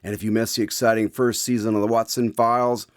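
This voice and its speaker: A man's voice: lowish voice, flat delivery, fast, no echo, clean studio sound, lightly acted. A man playing an eager, inquisitive host in a radio drama, speaking formally as announcer and listener. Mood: announcing. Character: eager male host visiting a doctor to hear his stories.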